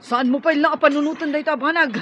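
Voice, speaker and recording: A woman's voice: sits high, fairly even intonation, fast, a bit bright, muffled recording, a little hiss or hum. A woman playing a loyal young tribeswoman warrior in an Ilocano-accented radio drama, speaking earnestly and dramatically. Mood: protective. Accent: Filipino, Ilocano.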